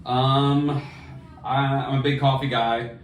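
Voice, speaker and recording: A man's voice: medium pitch, flat delivery, slow. Roomy, distant-sounding casual male podcaster. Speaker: podcast co-host. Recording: roomy, distant mic, faint noise.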